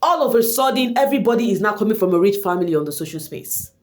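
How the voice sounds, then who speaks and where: A woman's voice: mid-range voice, fast. A woman with a Nigerian accent and Igbo as her first language, giving casual gossip commentary straight to her viewers and slipping in Pidgin slang. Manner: animated, addresses viewers directly, mixes in Pidgin slang. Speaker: online reality show commentator.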